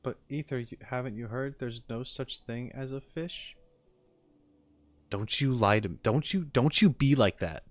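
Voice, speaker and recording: A man's voice: mid-range voice, unhurried, no room sound. A man streaming casually and chatting with his viewers, with playful asides as he reacts to game content. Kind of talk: casual livestream chat.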